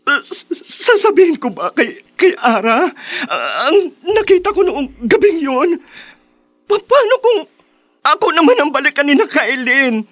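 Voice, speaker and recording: A young Filipino woman haunted by what she witnessed, in radio melodrama style. Her high voice moves through wide swings and comes slowly, in stammered, fearful bursts, recorded very dry and close. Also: very animated, dry recording. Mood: anguished, fearful. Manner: halting, stammering, emotional delivery. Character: frightened, guilt-torn young woman who witnessed a crime.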